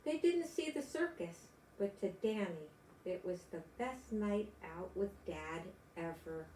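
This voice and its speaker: An older woman, a grandmother storyteller, reading aloud and chatting to young children in gentle, simple phrases. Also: medium pitch, animated, a little slow. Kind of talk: children's read-aloud and chat to young listeners. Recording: echoey room with background noise.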